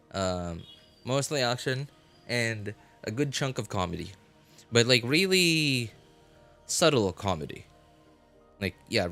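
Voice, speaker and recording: A man streaming a game live, chatting casually and haltingly as he thinks aloud. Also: on the low side, a bit bright. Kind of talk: casual rambling livestream chat. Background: music.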